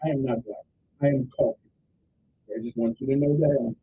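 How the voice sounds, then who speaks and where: A man's voice: fairly high pitch, very animated, fairly quick, gravelly, breathy edge, narrow, tinny audio. A man hosting a radio talk show, chatting in a folksy, rambling way with lots of asides. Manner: folksy, chatty, rambling asides with repeated "okay" and "I'm just saying". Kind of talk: casual radio talk, listing the day's observances.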